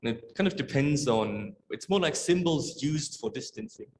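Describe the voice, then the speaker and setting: A man's voice: mid-range voice, lively intonation, normal speed, a little mellow. A man asking a casual, unscripted question at an academic talk, tentative and full of verbal hedging. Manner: tentative, heavily hedged with "kind of" and "like". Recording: echoey room with faint noise.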